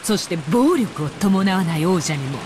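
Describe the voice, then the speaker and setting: A woman's voice: fairly low pitch, animated, fast talker, background noise, lightly acted. An actress playing a haughty, sadistic demon woman in a Japanese visual novel, imperious and mocking in a theatrical way, with music behind her. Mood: imperious taunting. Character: haughty, sadistic female demon fighter, taunting and commanding.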